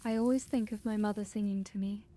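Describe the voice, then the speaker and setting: A woman playing a gentle, affectionate schoolgirl in a fan audio drama, with a soft, tender manner. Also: mid-range voice, fairly even intonation, fast talker, slight background noise, close to an everyday voice.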